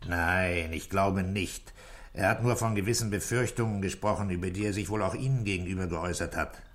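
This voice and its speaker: A man playing a composed, patient police commissioner in a 1960s German radio play, measured, probing and courteous. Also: low-pitched, steady tone, lightly acted. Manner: measured, matter-of-fact, courteous. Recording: vintage 1960s radio drama recording.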